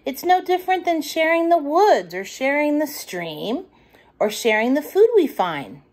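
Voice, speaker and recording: Woman voicing a gentle, lilting mother raccoon. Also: high-pitched, sing-song, a little slow, voice pushed up. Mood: motherly. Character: tender, patient mother raccoon comforting her child. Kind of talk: children's storybook character voice.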